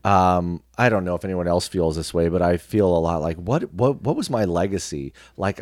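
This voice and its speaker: A man speaking as an interview guest in a casual, reflective way, with measured and halting phrasing. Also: low voice, darkish tone, no room sound, clean studio sound. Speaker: podcast guest, radio personality and actor.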